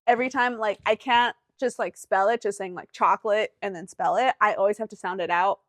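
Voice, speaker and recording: Young woman from texas, mid pitch, chatty and animated, dry sound no room. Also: a little fast, dry recording. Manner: animated, chatty, jumps in often. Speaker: podcast co-host. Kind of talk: casual chat.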